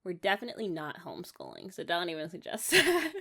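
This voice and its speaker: A woman's voice: fairly low pitch, sing-song, fast, bright, forceful tone, full character voice. A young American woman playing an eager, affectionate wife in an intimate ASMR romance roleplay, rambling and coaxing in a giggly way. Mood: chatty, teasing.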